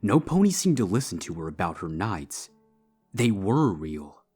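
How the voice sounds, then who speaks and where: Guy narrating a story, real deep n husky, music in the background. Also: sing-song, a little slow, a little mellow.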